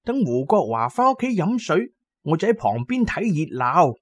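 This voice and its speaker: A man's voice: fairly high pitch, sing-song, moderate pace, dry recording, pitched above the performer's own voice. A man voicing a petty, suspicious neighbour who mutters spiteful gossip in a Cantonese audio drama. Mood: gleeful spite.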